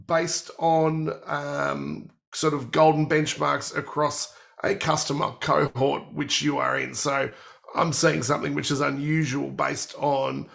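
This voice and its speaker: A man with a cold and a croaky, gravelly voice, presenting a technical workshop and walking through product features. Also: on the high side, flat delivery, slow pace, a bit bright.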